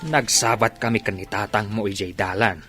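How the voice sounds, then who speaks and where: A man with an Ilocano accent playing a calm, reasonable uncle in a radio drama. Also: lowish voice, normal speed, over music, close to an everyday voice. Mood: advising. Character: calm, reasonable uncle mediating a family quarrel.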